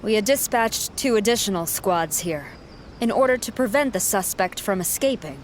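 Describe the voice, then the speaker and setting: Woman doing calm army commander lady, formal, brisk, mid pitch. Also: slight background noise, close to an everyday voice. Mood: matter-of-fact. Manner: formal, brisk, matter-of-fact.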